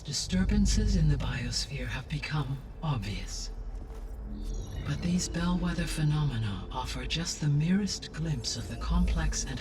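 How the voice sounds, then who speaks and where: A calm, learned male AI from a video game voiced by an actor, set back from the microphone with music playing behind. He speaks formally and precisely, a benevolent guardian weighing every word. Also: medium pitch, distant mic, natural voice. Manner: measured, precise, formal diction. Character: calm, benevolent, erudite male artificial intelligence guarding life on Earth. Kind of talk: scripted video game dialogue.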